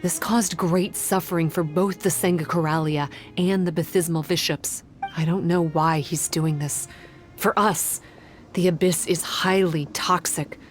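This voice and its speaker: A woman playing a composed, formal priestess-like guide who explains things in a measured way in a video game. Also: on the low side, fairly even intonation, faint music behind, natural voice. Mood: serious, explanatory. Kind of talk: English video game dialogue.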